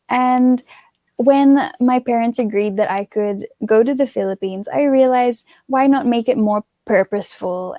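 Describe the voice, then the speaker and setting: A woman's voice: fairly high pitch, mellow, dry recording. Give me a young woman from Australia, a pageant titleholder answering interview questions in a chatty, earnest way with lots of fillers.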